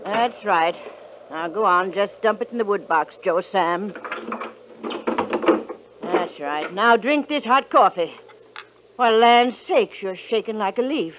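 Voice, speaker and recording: A woman's voice: mid-range voice, slow, pauses often, close to an everyday voice. An actress playing a worried older ranch mother with homespun, motherly speech in a 1950s radio drama. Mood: fussing. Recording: muffled vintage radio recording with faint hiss.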